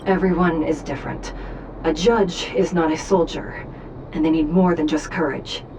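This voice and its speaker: An actress playing a stern, composed judge in a video game, speaking in a formal, measured way. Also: female voice, low-pitched, steady tone, background noise, natural voice. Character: stern, composed female judge, an illusory double. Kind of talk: video game character acting.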